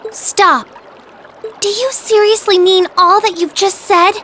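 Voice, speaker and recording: An actress voicing a caring, polite teenage younger sister in a video game, with an earnest, proper way of speaking. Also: female voice, very high-pitched, steady tone, slow, fairly bright tone, a little hiss or hum, heavily acted. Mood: upset, pleading. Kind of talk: video game cutscene voice acting. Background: trickling water ambience.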